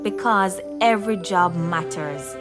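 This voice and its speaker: A woman reading a scripted children's story as a narrator, expressive and unhurried, with deliberate pauses. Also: fairly low pitch. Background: background music.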